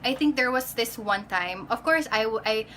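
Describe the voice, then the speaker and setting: Filipino-accented woman in her twenties, chatty livestream host, bright and fairly high, faint hiss. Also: expressive, moderate pace. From Philippines. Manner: chatty, greets viewers by name, mixes in Tagalog. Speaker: pageant candidate. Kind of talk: casual livestream chat.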